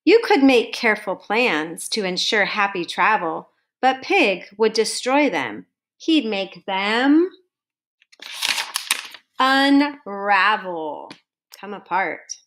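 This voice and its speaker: A woman reading a children's storybook aloud to students like a teacher, in a deliberate, sing-song manner with drawn-out phrase endings. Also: mid-range voice, lively intonation, a bit bright. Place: home kitchen, indoors.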